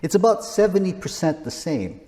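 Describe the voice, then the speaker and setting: A man's voice: a little high, very animated, moderate pace, warm tone, in a large hall. A man in his sixties, a missionary, talking casually in an interview with frequent restarts. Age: 60s. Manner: rambling, frequent restarts and chuckles.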